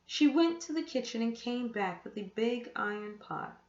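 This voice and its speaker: A woman reading a children's story aloud with expressive, deliberate storytelling. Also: on the high side. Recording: echoey room, dull muffled sound.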